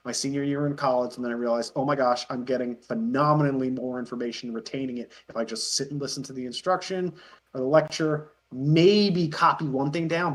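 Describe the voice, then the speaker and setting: A man in his thirties chatting casually as an interview guest, telling rambling, chuckly stories. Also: medium pitch. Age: 30s. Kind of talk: casual chat.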